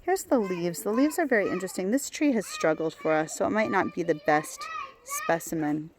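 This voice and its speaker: Woman, plant walk guide, chatty with fillers and restarts, fairly low voice, outdoors, faint background noise. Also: huge pitch swings, brisk. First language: not Hebrew. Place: outdoors in a garden.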